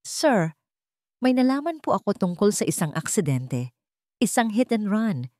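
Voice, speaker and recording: A woman's voice: mid-range voice, sing-song, measured pace, dark tone, dry recording, clean studio sound, voice pushed up. A woman voicing a businesslike male private investigator giving an urgent, respectful report in an audio drama. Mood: urgent, deferential.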